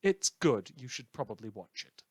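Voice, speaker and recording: A man's voice: mid-range voice, sing-song, normal speed, husky, dry recording, studio quality. A man hosting a podcast, informally reading out credits and thanks in a chatty, self-deprecating way. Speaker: podcast host and writer. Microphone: voice recorder.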